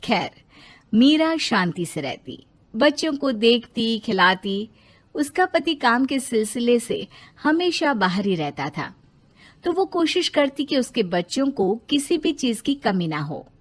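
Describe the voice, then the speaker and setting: Female storyteller, high pitch, lively intonation, scripted family tale narration. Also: a little slow.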